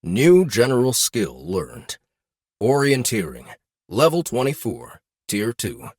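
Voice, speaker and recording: A man's voice: medium pitch, sing-song, slow, a little mellow, no room sound, clean studio sound. A man playing a formal game-system announcer, reading clipped notices in a fantasy audiobook. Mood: announcing.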